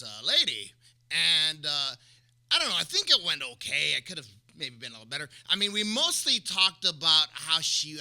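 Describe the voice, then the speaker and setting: A male stand-up comedian speaking casually on stage with a halting, self-deprecating manner. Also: on the high side, sharp tone. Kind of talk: live stand-up routine and casual interview.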